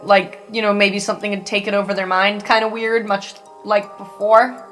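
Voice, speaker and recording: Woman roleplayer, informal game chat, average pitch, light music underneath. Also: flat delivery, normal speed, fairly bright tone. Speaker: player in a roleplay podcast. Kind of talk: casual tabletop game description.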